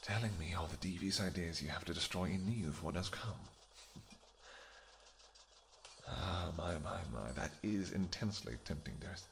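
A man voicing a flirtatious royal vampire king who teases affectionately, with a gravelly voice. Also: expressive, moderate pace, a little hiss or hum. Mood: flirty teasing. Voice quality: gravelly, low. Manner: teasing, affectionate, soft murmurs. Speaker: audio roleplay performer. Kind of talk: audio roleplay acting.

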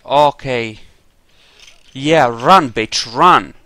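A man hosts a video game playthrough in a smooth, clear voice of medium pitch. He takes his time, thinking aloud in casual, sweary asides and sometimes speaking as his character. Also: drawn-out words, sharp tone, no room sound. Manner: informal, muttering to himself, sweary. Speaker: let's play host. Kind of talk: casual gameplay commentary, partly role-played.

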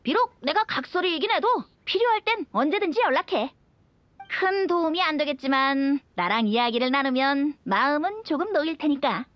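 A woman's voice: high voice, expressive, moderate pace, no echo, highs cut off. A woman voicing a carefree, teasing young male bard in a video game, with a light boyish voice and a playful lilt. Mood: reassuring. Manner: playful, lilting. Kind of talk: animated video game voice acting.

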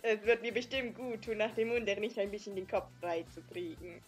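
A woman's voice: mid-range voice, fairly quick, faint music behind. A young woman hosting a let's play, reading visual novel dialogue aloud with playful, giggly asides. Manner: giggly, reads lines playfully.

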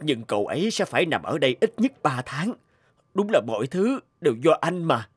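A man's voice: on the high side, sing-song, fairly quick, husky, no room sound. A man voicing an earnest, devoted mountain climber in a cartoon, speaking emotively. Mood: guilty, distressed. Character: earnest adult male mountain climber, guilt-ridden and devoted friend. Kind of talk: dubbed cartoon acting. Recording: loud music over the voice.

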